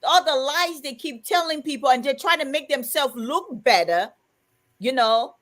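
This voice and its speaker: Woman on a live chat, kinda high voice, animated, laughs a lot while telling stories. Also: moderate pace. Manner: animated, laughs often, acts out quoted dialogue; reads viewer comments aloud. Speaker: live stream co-host. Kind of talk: casual chat, storytelling.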